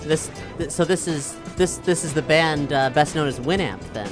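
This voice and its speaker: A man with a fairly high voice chats through a tabletop game as a player. He is tentative and thinks aloud, repeating words as he works things out. Music is clearly heard behind him. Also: normal speed. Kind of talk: casual improvised game discussion. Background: background music.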